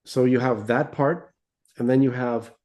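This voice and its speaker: Mellow-voiced man explaining things on a podcast. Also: mid-range voice, fairly even intonation, measured pace. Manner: didactic, checks in with "right?" and "okay?". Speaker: podcast co-host. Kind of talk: casual explanatory religious discussion.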